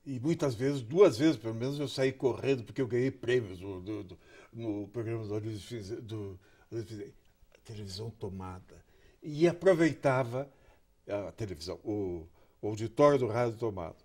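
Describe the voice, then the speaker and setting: Older male guest, husky, unhurried recollections. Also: lowish voice, darkish tone. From Curitiba, Brazil. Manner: slow, halting, repeats words while recalling. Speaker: guest journalist. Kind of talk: reminiscing interview talk. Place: TV studio.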